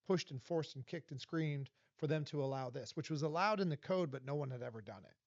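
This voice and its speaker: A fairly high-voiced man from Los Angeles, in his forties, speaking as an interview guest with an emphatic, animated way of telling his story. The sound is dry and a little dull, like a podcast recorded close in a small space. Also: brisk, dry recording. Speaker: guest, vendor advocate. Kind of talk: casual interview talk.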